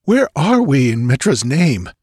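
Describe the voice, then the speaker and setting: A man voicing a frightened young nobleman in a fantasy audiobook, speaking in hushed, urgent, formal phrases. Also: medium pitch, sing-song, unhurried, breathy edge, no room sound, studio quality, pitched above the performer's own voice. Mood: startled, anxious. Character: frightened young nobleman, breathless, horror-struck. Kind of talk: dramatized audiobook fantasy adventure.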